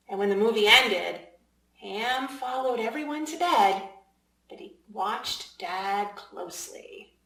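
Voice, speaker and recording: A woman narrates a children's story in read-aloud style. Her voice sits at a middle pitch and she shapes phrases deliberately and expressively for young listeners. The room adds a clear echo around her. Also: fairly bright tone. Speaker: children's author reading aloud. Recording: echoey room.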